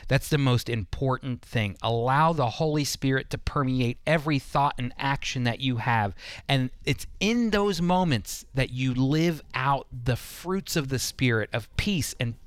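A man's voice: medium pitch, unhurried, smooth, dry recording. A man teaching the Bible conversationally as a radio host, earnest and emphatic.

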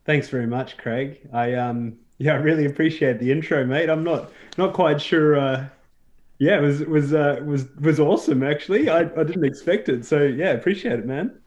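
A man's voice: a little high, moderate pace, a little mellow, dull audio. A man from South Africa, a guest on a talk show, chatting casually with many fillers and restarts. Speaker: podcast guest. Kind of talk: casual interview chat.

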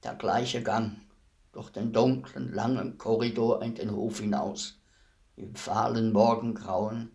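An actor playing a weary old executioner in a radio play, speaking in a deliberate, ruminative manner with heavy pauses. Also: male voice, on the low side, steady tone, creaky, darkish tone. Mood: bleak, somber. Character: weary old male executioner, fifty years imprisoned, brooding, humble.

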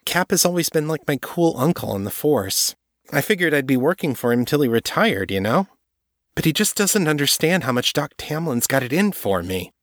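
A man's voice: fairly high pitch, sing-song, moderate pace, no room sound, clean studio sound, voice pushed up. A man voicing a blunt, frustrated young woman police detective who speaks glumly. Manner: blunt and glum.

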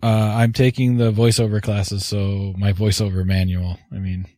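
A man's voice: fairly low pitch, flat delivery, moderate pace, a little mellow, no echo, studio quality. A man hosting a podcast, introducing segments and thanking sponsors in a laid-back, offhand way. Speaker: podcast host.

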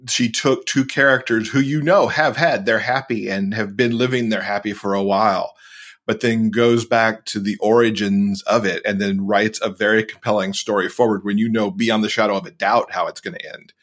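A man's voice: on the low side, steady tone, moderate pace, fairly bright tone. A man who co-hosts a podcast, talking casually as an interview guest. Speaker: podcast co-host appearing as guest.

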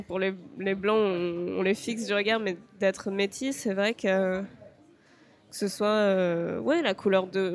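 A young woman from France, a podcast guest speaking hesitantly, with frequent fillers and restarts. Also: medium pitch. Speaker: interview guest. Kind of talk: podcast interview.

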